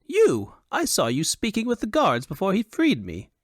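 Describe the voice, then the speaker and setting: A foppish fantasy nobleman, pitched well up and swooping theatrically. He is vain, haughty and excitable, with affected airs and little sense behind them, as heard in an audiobook. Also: normal speed, dry recording, studio quality. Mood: excited, bewildered. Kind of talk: audiobook character acting.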